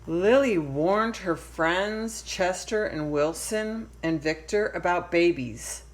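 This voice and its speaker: A woman reading a children's storybook aloud as narrator, expressive and deliberate. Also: on the low side, rough recording. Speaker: storybook reader.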